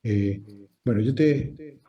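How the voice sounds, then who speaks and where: A man from Chile hosting an interview, asking questions in a measured, conversational way. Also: medium pitch, highs cut off. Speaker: host.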